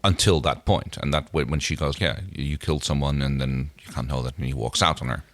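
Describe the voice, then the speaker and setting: Very deep-voiced man, dry sound. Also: steady tone, a little fast, a bit bright, dry recording. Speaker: podcast co-host. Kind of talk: casual chat recapping a TV episode.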